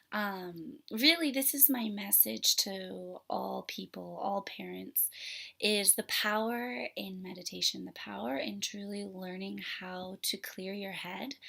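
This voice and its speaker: A woman parenting instructor, hoarse from a sore throat, talking earnestly to camera with many pauses and fillers. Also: medium pitch, sharp tone. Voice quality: raspy. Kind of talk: casual talk to camera.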